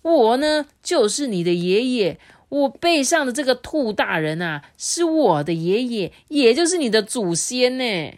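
A woman's voice: a little high, sing-song, measured pace, hardly any pauses, voice pushed up. A woman voicing a male deer father who sternly bluffs a tiger. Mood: mock-stern. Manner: loud, mock-stern.

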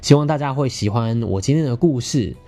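A man's voice: medium pitch, animated, brisk, warm tone. A man with a Taiwanese Mandarin accent hosting a podcast, speaking colloquially and earnestly to listeners as he shares personal stories and relationship advice. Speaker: podcast host.

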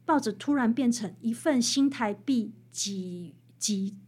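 A woman with a fairly high voice and a Taiwanese Mandarin accent speaks as a guest author. She explains in an expressive storytelling way, leaning on key phrases for emphasis. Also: takes it slowly, breathy edge. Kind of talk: expressive explanatory interview talk.

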